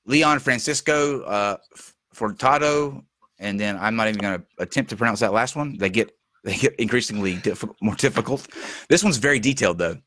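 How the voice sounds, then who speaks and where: Male talk host, lowish, hoarse from losing his voice, halting with fillers, dry close sound. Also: sharp tone, no room sound. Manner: halting, many fillers and restarts. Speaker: show host. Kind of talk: casual live-stream talk show.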